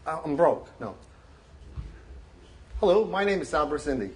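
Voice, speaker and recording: A man's voice: fairly high pitch, big pitch swings, darkish tone. A man giving an informal show-and-tell about his hobby at a club meeting, speaking into a handheld mic, full of fillers and restarts. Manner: halting, many restarts and fillers. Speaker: club member presenting his collection. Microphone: handheld microphone.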